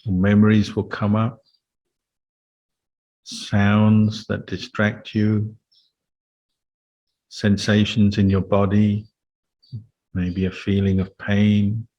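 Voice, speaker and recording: A man speaking as a meditation teacher, giving calm, measured guidance in a Buddhist talk. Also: lowish voice, dull audio. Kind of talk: guided meditation and Dhamma talk.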